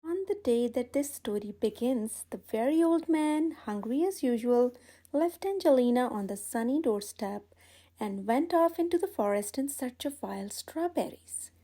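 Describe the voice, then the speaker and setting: A woman reading a picture book aloud as a children's storyteller, with expressive, deliberate phrasing. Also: on the high side.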